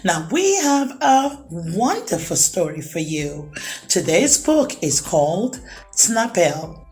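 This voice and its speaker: A woman narrating a children's storybook as a storytime host, with expressive, deliberate phrasing for young listeners. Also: fairly low pitch, soft background music. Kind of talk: children's storybook read-aloud, rhyming narration.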